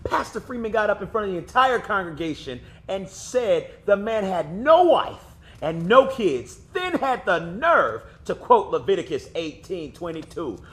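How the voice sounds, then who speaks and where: A man's voice: sits high, very animated, normal speed, a bit bright. An actor playing an outspoken young man in a stage play, teasing and arguing with his aunt. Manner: teasing, laughing, argumentative. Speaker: older cousin figure.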